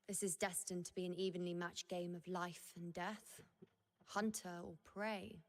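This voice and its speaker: An actress playing a poised, ominous huntress in a video game with dramatic delivery. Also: female voice, lowish voice, little pitch movement, measured pace, big-room sound. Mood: ominous, savoring.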